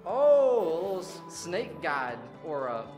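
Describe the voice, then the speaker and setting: A woman's voice: on the low side, big pitch swings, slow pace. A woman hosting a reaction video with casual, playful, slangy commentary full of exclamations. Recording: echoey room, loud music behind.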